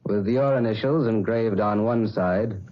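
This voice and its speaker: A man's voice: fairly low pitch, a little slow, lightly acted. An actor playing a masked, mysterious playwright who speaks with suave, cold menace and narrates his own story, in 1940s radio acting. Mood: cold, cutting. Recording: muffled old radio recording with music.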